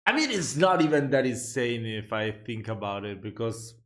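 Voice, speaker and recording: A man's voice: mid-range voice, big pitch swings, normal speed. A young Italian man talks casually on a headset mic in a small room at home. He rambles, trips over his words, corrects himself and laughs at his own asides. Italian is his first language. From Italy. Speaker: reaction video host. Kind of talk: casual reaction chat.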